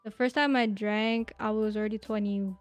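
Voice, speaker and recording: A woman's voice: medium pitch, steady tone, moderate pace, no room sound, faint music behind. A woman in her twenties, a podcast guest chatting casually, telling rambling stories with lots of fillers and giggles. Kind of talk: casual interview chat.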